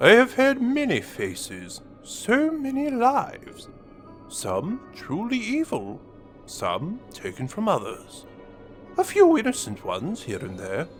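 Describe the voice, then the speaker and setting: A sneering audio drama villain, slow menacing monologue, slightly high voice swinging all over, music under it. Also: male voice, huge pitch swings, exaggerated voice. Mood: brooding boast.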